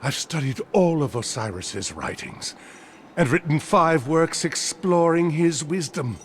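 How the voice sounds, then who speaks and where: An actor playing a devout, self-important cult scholar in a video game, speaking with pompous reverence. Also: male voice, a little high, expressive, a little slow, slight background noise. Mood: indignant, boastful. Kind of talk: video game character acting.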